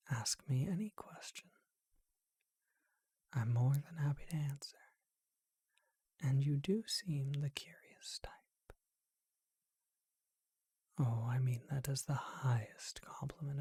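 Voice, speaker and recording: A performer voicing a charming, courtly male vampire who keeps a bookshop, whispering slowly and deliberately in an ASMR roleplay. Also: mid-range voice, warm tone, husky, no echo, full character voice. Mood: charming, teasing.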